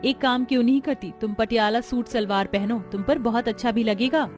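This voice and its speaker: A woman's voice: fairly high pitch, brisk, a little mellow, close to an everyday voice. A woman voicing a modest, thoughtful young housewife in a cartoon story. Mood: helpful. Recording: music bed under voice.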